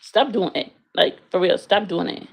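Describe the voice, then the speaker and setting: Young woman streamer, casual and blunt, with a gravelly edge. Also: on the low side, expressive, normal speed. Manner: blunt, frank, swears freely. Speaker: livestreamer answering chat. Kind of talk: casual livestream chat. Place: her bedroom, in bed.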